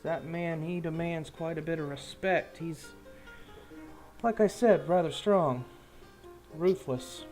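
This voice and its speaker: A man voicing a wary, guarded thief and informant in a tabletop game, speaking in a hesitant, folksy, measured way. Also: on the high side, darkish tone, soft background music. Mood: guarded, admiring. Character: wary low-life male thief and informant, casual and guarded.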